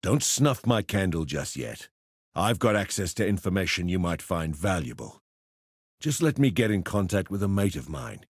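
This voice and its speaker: A man's voice: low voice, sing-song, normal speed, breathy edge, no room sound, studio quality, exaggerated voice. A man voicing a roguish arena fighter and pitmaster in a video game, with cheeky, slangy, theatrical tough-guy talk. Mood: wry, bargaining. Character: roguish adult male arena fighter and pitmaster turned syndicate member. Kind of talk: video game character voice lines.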